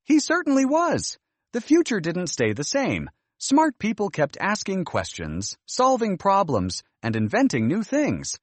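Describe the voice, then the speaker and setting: Man voicing a kindly, wise storybook grandma, very animated. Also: high voice, unhurried, no room sound, voice pushed up, exaggerated voice. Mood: amused, wise. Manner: gentle, encouraging, expressive.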